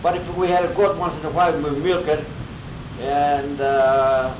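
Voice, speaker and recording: An older man in his eighties, from Greece, recalls his early life in a rambling way, chuckling between memories. His voice sits a bit high for a man and is heard from well off the mic. The room rings, and a steady hiss runs under the home tape. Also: moderate pace, echoey room, distant mic. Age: 80s. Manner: chuckling, rambling storyteller with restarts. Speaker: interviewee, grandfather. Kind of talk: casual oral-history interview.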